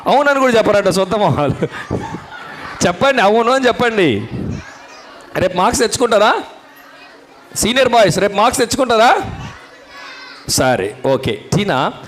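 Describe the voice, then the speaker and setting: A man's voice: sits high, very animated, moderate pace, long pauses, a bit bright, a faint crowd, a little hiss or hum. A man working as a Sunday school puppet show host, talking to children, prompting them questions and repeating the answers.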